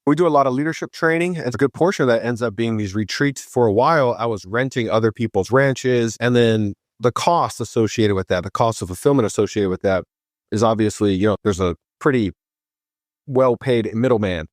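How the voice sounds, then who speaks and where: A man from Hawaii, a podcast guest, telling his story in a chatty, casual way and voicing himself with lively quotes. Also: medium pitch, a little fast, no echo, studio quality. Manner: chatty, animated voice when quoting himself.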